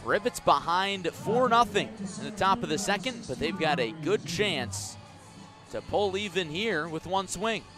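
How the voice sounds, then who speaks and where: An American man doing live radio play-by-play of a baseball game, measured between pitches and emphatic on the action. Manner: measured description rising into emphatic calls on the action. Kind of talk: live radio sports commentary. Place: outdoors at a ballpark.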